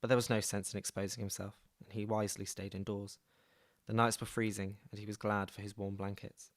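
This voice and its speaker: A man reading a novel aloud in a calm, even storybook manner. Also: fairly low pitch, a little fast. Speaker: reader.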